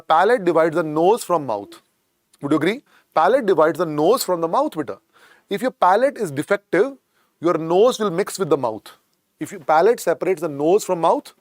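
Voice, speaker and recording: A man's voice: high voice, no room sound. Indian English male tutor, repetitive drilling delivery, dry close recording. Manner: repetitive, drills phrases, calls students "beta". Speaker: medical exam lecturer. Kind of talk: classroom lecture. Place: lecture room.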